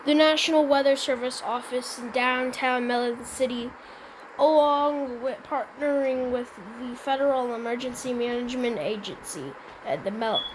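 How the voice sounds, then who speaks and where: A high-voiced woman plays announcer for a homemade emergency bulletin. She speaks haltingly, often doubling back on her phrases, over clear background noise. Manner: halting, with frequent stumbles and repeated phrases. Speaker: announcer in a hobby emergency alert scenario. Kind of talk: improvised emergency news announcement, amateur role-play.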